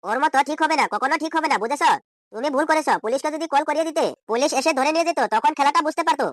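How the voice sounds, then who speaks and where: Man playing an exasperated cartoon fellow, pitched-up voice. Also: rapid-fire, a bit bright, dry recording, clean studio sound. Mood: scolding. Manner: questioning, repeating himself indignantly. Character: exasperated, scolding ordinary village man. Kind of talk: amateur comedy cartoon dubbing.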